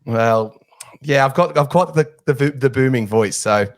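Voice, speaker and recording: A man's voice: mid-range voice, normal speed, no room sound, clean studio sound. A man hosting a casual sports podcast, chatty and joking at his own expense. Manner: chatty, jokey, self-deprecating. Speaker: podcast host.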